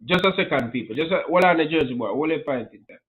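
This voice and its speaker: A man hosting a casual live-stream chat, brisk and speaking to the audience. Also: fairly high pitch, expressive. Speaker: host. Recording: tinny phone-like sound.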